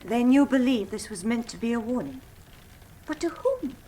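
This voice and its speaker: An actress playing a self-possessed, frank young Victorian gentlewoman, formal and pointed, in a radio drama. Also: on the high side, lively intonation, moderate pace. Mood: challenging. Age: young adult. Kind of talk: full-cast radio drama acting.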